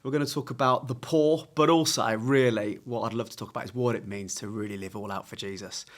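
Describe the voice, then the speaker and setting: A man in his thirties from England, a podcast guest telling his life story in a lively, self-mocking way. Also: mid-range voice, brisk. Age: 30s. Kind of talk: casual interview.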